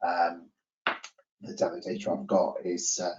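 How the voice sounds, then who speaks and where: A man giving an informal software demonstration, talking through the screen with hesitant, repeated phrasing and self-corrections. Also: low voice, completely flat delivery, measured pace. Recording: roomy, distant, muffled microphone.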